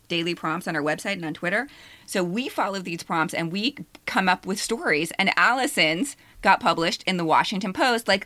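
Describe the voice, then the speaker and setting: Bright-voiced, lower-pitched middle-aged woman. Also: no echo. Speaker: podcast co-host and essayist. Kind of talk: casual chat and personal essay reading.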